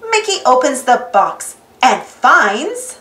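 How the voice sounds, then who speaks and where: Slow, singsong woman reading a storybook. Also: high voice, big pitch swings, sharp tone. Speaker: storybook reader. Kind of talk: children's storybook read-aloud. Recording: roomy echo, faint background music.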